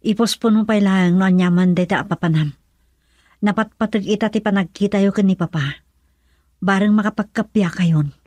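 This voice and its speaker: A woman's voice: mid-range voice, normal speed, darkish tone, no room sound, natural voice. A woman with an Ilocano accent playing a worried young wife in an emotional radio drama. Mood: surprised, urging. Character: worried young wife pleading with husband and father.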